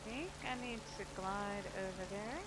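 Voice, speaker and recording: A woman's voice: medium pitch, normal speed. A woman giving casual gameplay commentary, muttering offhand to herself as she plays. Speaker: video game player. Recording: loud game noise behind.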